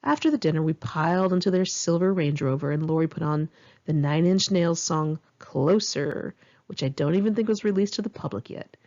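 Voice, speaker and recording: A woman's voice: low voice, moderate pace, darkish tone, lo-fi sound. A middle-aged woman reading her memoir aloud in one take, candid and wry, with casual swearing. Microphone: home microphone.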